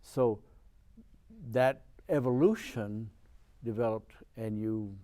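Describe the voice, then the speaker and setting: Mellow-voiced man hosting an interview. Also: lowish voice. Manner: deliberate, encouraging, with drawn-out phrasing. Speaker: television interview host. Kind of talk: interview questions.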